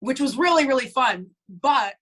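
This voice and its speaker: A woman's voice: high voice, animated, moderate pace, a bit bright. A young woman, a band's lead singer, chatting casually in an interview with lots of fillers. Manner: chatty, many "like" fillers, laughs easily.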